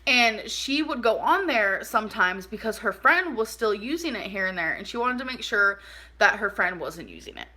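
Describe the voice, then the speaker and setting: A woman chatting casually through a personal story for a vlog, animated and informal, saying "like" a lot and acting out bits of dialogue. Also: medium pitch, normal speed. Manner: animated, informal, acts out dialogue, heavy use of "like". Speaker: vlogger telling a personal story.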